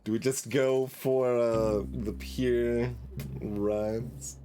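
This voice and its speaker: A man's voice: medium pitch, fairly even intonation, very slow, dark tone, a little hiss or hum. A man streaming a game with live commentary, making hesitant, analytical asides as he goes.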